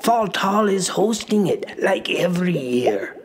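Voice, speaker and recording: A woman playing an eager, odd water troll shoemaker with a gravelly voice in a children's radio play. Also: fairly low pitch, animated, a little slow, a little hiss or hum. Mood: pleased, explaining. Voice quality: gravelly, creaky. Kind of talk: children's radio theater acting.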